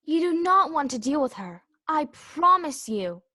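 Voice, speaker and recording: A haughty tiger lily acted by a woman bosses everyone around in a children's storybook play. Her voice is high and swings widely in pitch, imperious and scolding. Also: very animated, measured pace, bright, forceful tone, exaggerated voice. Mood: haughty, lecturing. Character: bossy, haughty female talking Tiger Lily flower. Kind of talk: children's readers theater read-aloud.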